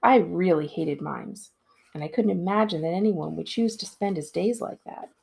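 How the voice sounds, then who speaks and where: A woman, a school librarian, giving an expressive read-aloud of a storybook in a narrator's style. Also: fairly low pitch, moderate pace, creaky, husky.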